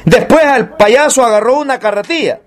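A man's voice: extremely high pitch, fairly quick. A man preaching an evangelical sermon, exhorting his listeners directly and putting rhetorical questions to them.

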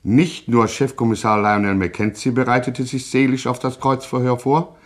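A man delivering wry, measured scripted narration for a satirical radio play. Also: mid-range voice, little pitch movement.

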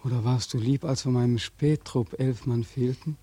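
An actor playing a bitter, despairing young war veteran in a 1940s German radio drama, anguished, sardonic and accusing. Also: male voice, medium pitch, steady tone, moderate pace, warm tone, slightly rough, dry recording, lightly acted. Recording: dry 1940s radio studio recording.

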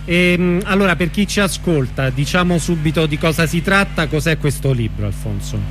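A man's voice: a little high, animated, moderate pace, soft background music. A man hosting a radio interview, asking short prompting questions with some fillers. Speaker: radio host. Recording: radio broadcast with faint hum.

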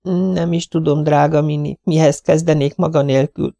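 A man's voice: fairly high pitch, fairly even intonation, dry recording, clean studio sound, pitched above the performer's own voice, close to an everyday voice. A man doing a timid, frightened wife. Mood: meek. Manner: meek, faltering, hushed. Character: timid, frightened married woman.

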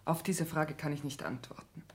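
An actress playing an earnest, proud young countess and dutiful daughter in a 1960s German radio drama, with formal, often hushed delivery. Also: female voice, sits low, fairly even intonation, moderate pace, natural voice. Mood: earnest, yielding.

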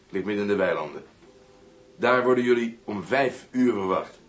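A man's voice: on the low side, animated, moderate pace, close to an everyday voice. An actor playing a businesslike, matter-of-fact henchman in a radio drama. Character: businesslike henchman, a general's right-hand man.

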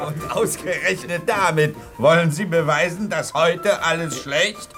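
An actor in a German radio play playing a sceptical, indignant listener who argues back. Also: male voice, on the high side, unhurried, fairly bright tone, faint music behind.

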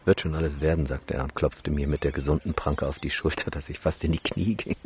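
Very deep-voiced, weary skeptical photographer. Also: male voice, fairly even intonation, fairly quick, darkish tone, slightly rough, full character voice. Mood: somber, reflective. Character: weary, skeptical male photographer documenting a disaster zone. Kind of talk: naturalistic radio drama acting.

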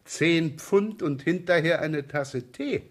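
An actor playing a wry, easygoing, rumpled police inspector with a teasing, casual way of talking in a German radio crime drama. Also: male voice, on the high side, slow. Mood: wry, probing.

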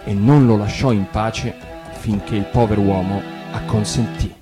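A man's voice: lowish voice, normal speed. A male actor narrating a fairy tale expressively for radio. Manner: warm storytelling with lively emphasis. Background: guitar music.

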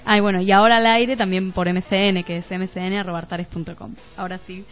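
A woman's voice: medium pitch, level tone, fast talker. A woman with a Rioplatense Argentine accent co-hosting a radio show in a casual, chatty style. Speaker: radio co-host. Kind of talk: casual radio chat, addressing listeners. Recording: slightly muffled radio audio with music behind. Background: music.

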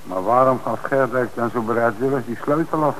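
An actor playing an eager police detective who talks in a conversational, enthusiastic way in a radio drama. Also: male voice, medium pitch, a little fast, fairly bright tone, slight background noise, natural voice, rough recording.

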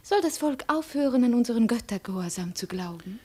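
Cold proud young princess in old radio play, high voice, ironic, imperious. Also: female voice, expressive, fairly quick, a little mellow. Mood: probing, suspicious. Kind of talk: 1950s German radio drama acting.

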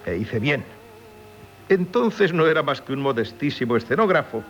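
An actor with a Castilian Spanish accent playing a chatty, courteous painter in a Spanish radio drama. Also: male voice, a little high, big pitch swings, normal speed. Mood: chatty, affable. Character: chatty, courteous male painter, long settled in town. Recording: dull, noisy 1970s radio recording.

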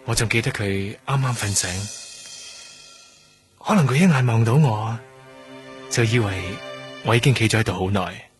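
A man's voice: fairly low pitch, normal speed, long pauses, soft background music, close to an everyday voice. An actor with a Hong Kong Cantonese accent playing a young trainee doctor in a radio drama, guarded, evasive and defensive in his answers. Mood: defensive, explaining.

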